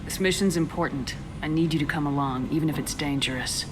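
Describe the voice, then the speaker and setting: An actress playing a calm, direct female military commander in a video game, speaking in a firm, businesslike way. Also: low-pitched, brisk, close to an everyday voice. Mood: firm, persuasive. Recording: loud ambient noise behind voice.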